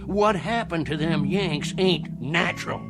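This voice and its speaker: A man's voice: on the high side, a little slow, music in the background, natural voice. An actor playing a sly, boastful old country man with a folksy Southern American drawl in an audio drama. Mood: gleeful, boasting. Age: older. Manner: folksy drawl, cackling, taunting. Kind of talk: old-time radio-style drama acting.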